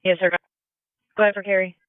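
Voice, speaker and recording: A man's voice: high-pitched, little pitch movement, fast, clear, resonant tone, dry recording. A man working as an emergency dispatcher, speaking in courteous, procedural phrases over a two-way radio. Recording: muffled band-limited radio traffic recording.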